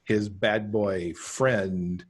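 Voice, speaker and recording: Low husky middle-aged man. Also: steady tone, extremely slow. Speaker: podcast guest, author and podcaster. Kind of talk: casual conversational interview. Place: home room, on a video call. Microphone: video call.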